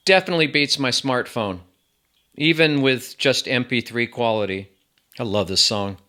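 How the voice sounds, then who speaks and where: A man's voice: mid-range voice, pushed and bright. A man giving a casual, rambling product review as a channel host, with wry asides.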